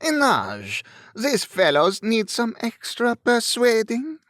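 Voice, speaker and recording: A man voicing a young French highwayman in an audiobook, mocking and brazen, with a grating, put-on gruffness and a French accent. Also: very animated, slow pace, a bit bright, no room sound, clean studio sound, heavily acted. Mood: commanding. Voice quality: grating, artificially deepened voice. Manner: mocking, taunting.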